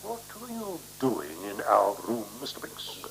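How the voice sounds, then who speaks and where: A man's voice: mid-range voice, wildly swooping pitch, measured pace, vocal fry, a bit bright, heavily acted, low-quality recording. An actor playing a domineering, suspicious, self-righteous man in a radio play, pompous and precise as he interrogates. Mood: stern, accusing. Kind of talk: 1970s radio play acting. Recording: humming, hissy 1970s radio recording.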